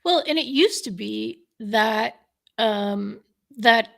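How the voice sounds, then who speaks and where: A woman co-hosting a live talk show, chatting casually with quick asides and frequent fillers. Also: on the high side, creaky. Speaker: co-host.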